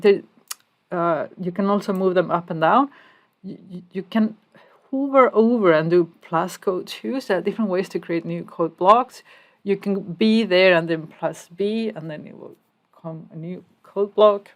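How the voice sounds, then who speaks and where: A woman from Spain giving a casual step-by-step coding tutorial as she types. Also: lowish voice. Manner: explains step by step while typing, casual asides. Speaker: programming instructor.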